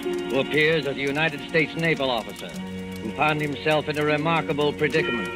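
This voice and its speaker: Formal vintage radio narrator over loud music. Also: male voice, fairly high pitch. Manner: formal, dramatic, measured phrasing. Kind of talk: 1940s radio announcing, scripted. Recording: old radio broadcast, loud music behind.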